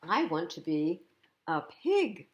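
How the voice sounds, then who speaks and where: A woman voicing a young male storybook bunny who is discontented and stubbornly wishful, with emphatic, drawn-out declarations. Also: fairly low pitch, sing-song, muffled recording.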